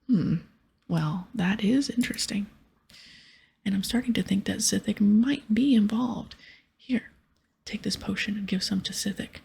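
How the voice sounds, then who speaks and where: Woman as doubtful guild wizard in a fantasy game, breathy, whispery, dry reasoning. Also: mid-range voice, unhurried, darkish tone, exaggerated voice. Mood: intrigued. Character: skeptical wizard guild official, dismissive and reasoning.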